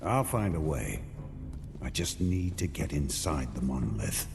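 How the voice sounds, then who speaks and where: An actor playing a resolute, defiant knight in a fantasy video game, speaking in a terse, grave, determined way. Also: male voice, rumbling low, big pitch swings, measured pace, gravelly, loud music behind the voice, heavily acted. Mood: grim resolve.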